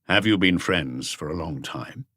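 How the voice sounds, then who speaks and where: A man voicing a calm, terse male chief inspector who questions witnesses in a detective audiobook. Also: deep voice, measured pace, no room sound, clean studio sound, heavily acted. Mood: calm, grave.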